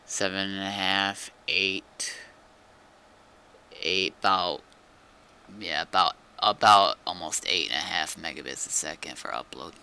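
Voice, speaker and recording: A game streamer, a man with a low and very sharp, forward voice that often drops into fry. He chats casually, thinking aloud, with faint noise and typing behind him. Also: unhurried. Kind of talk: casual chat while testing stream settings.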